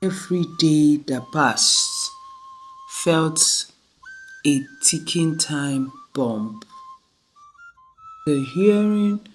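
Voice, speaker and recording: A storyteller narrating a scripted dramatic tale in measured, deliberate phrases with frequent pauses. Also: mid-range voice, huge pitch swings, poor audio. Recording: music behind the voice. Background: background music.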